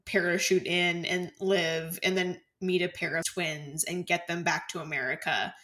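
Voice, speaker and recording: Slightly low female voice, rambling film chat. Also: steady tone, moderate pace, a bit bright. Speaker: podcast co-host discussing a film. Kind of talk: casual film discussion.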